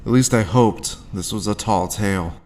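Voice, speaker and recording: Man acting a low-voiced, haunted war veteran. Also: a little mellow, close to an everyday voice. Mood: grim, tense. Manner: grim, deliberate first-person storytelling. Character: haunted hard-boiled male war veteran recounting his grim past. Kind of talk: scripted noir audio drama narration.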